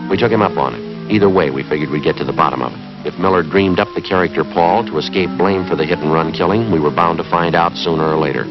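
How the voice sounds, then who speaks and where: American police sergeant, extremely low voice, terse, deadpan, methodical, 1950s TV drama, muffled old soundtrack. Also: male voice, fast talker, few pauses, music in the background. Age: 30s. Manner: clipped, matter-of-fact, deadpan. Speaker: lead actor in a police drama. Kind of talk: 1950s TV police drama acting and scripted narration.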